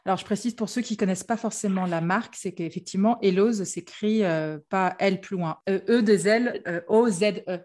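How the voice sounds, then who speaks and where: A woman's voice: mid-range voice, darkish tone. Friendly woman podcast host. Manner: friendly, apologetic, with quick self-corrections. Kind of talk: podcast interview.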